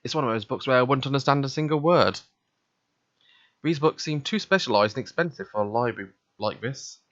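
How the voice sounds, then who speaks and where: A man's voice: medium pitch, animated, brisk, a bit bright, dry recording, muffled recording. A man reading a visual novel aloud, narrating in the first person and voicing its dialogue.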